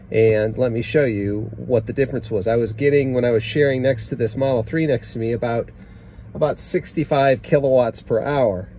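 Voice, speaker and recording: A man's voice: mid-range voice, warm tone, slight background noise. A man in his forties chatting to a phone camera from inside his parked car, loose and casual with plenty of ums and uhs. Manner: chatty, plain-spoken, many ums and uhs. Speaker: road trip vlogger. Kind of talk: casual vlog talking to camera. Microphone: phone camera at arm's length.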